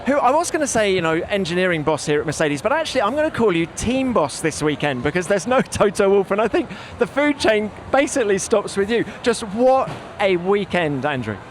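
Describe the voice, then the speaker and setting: High-voiced male motorsport podcast host. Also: big pitch swings, fairly quick, hardly any pauses, a little hiss or hum. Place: outdoors at race paddock.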